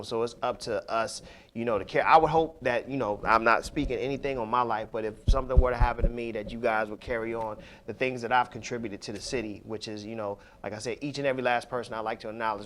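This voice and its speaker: A man hosting a radio show in casual talk, loose and full of "you know" fillers as he gives shout-outs. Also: on the low side, a little hiss or hum. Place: radio studio.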